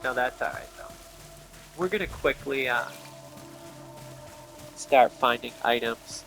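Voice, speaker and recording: A man's voice: medium pitch, slow. A man giving casual, off-the-cuff gameplay commentary, thinking aloud and muttering to himself as he plays. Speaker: gameplay streamer. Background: faint game music.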